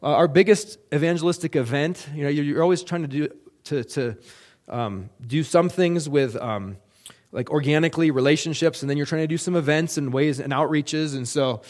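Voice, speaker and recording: A middle-aged man, a missionary, giving a halting, earnest report to a church with many fillers and restarts. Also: fairly high pitch, a little mellow. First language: English.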